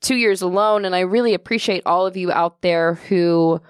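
Low-voiced woman podcast co-host. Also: moderate pace, smooth, dry recording, studio quality. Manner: low, sultry-sounding voice, relaxed and sincere. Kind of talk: casual chat. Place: podcast studio.

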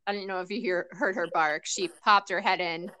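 Chatty woman podcast host, bright voice, dry close mic, laughs a lot. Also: on the low side, fairly even intonation, brisk, dry recording, poor audio. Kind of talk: casual chat.

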